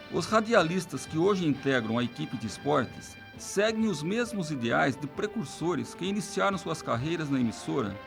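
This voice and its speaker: A man's voice: on the high side, gravelly. A man with a Brazilian accent reading scripted documentary narration.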